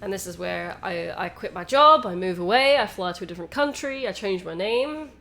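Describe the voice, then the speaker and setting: A woman's voice: medium pitch, animated, a little fast, creaky, a bit bright. A woman chatting casually as co-host of a game stream, joking in a wry, profane way.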